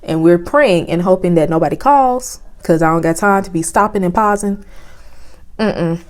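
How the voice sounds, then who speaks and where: A soft-spoken young woman, chatting casually with lots of fillers as she reviews cameras at home, recorded on a phone with a clip-on mic. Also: fairly low pitch, normal speed. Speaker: vlogger. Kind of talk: casual product review vlog. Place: home, indoors. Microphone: phone with clip-on lapel mic.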